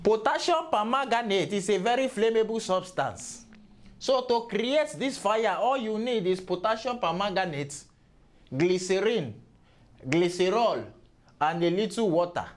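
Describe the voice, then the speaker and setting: Man playing a high-voiced mock professor, Nigerian. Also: expressive, measured pace. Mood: mock-formal. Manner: mock-formal lecturing. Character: mock chemistry professor, male, dry, scornful of gullible fools.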